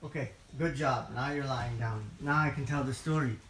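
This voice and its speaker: A woman improvising a bedtime story for children in simple, repetitive phrases. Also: moderate pace. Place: indoors in a room. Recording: echoey room, faint noise, low volume.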